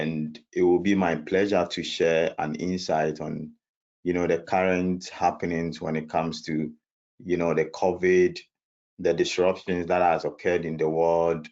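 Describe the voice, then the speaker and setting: A man from Ghana introducing himself as a panelist on an online panel, speaking in a measured way with some restarts. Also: sits low, a little mellow. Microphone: video call. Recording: dull lo-fi video call audio.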